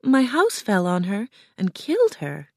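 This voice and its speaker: A woman's voice: medium pitch, wildly swooping pitch, measured pace, a little mellow, no room sound, clean studio sound, pitched above the performer's own voice, full character voice. A woman voicing a small, earnest young girl in a storybook, polite, meek and plaintive. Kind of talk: storybook character voice.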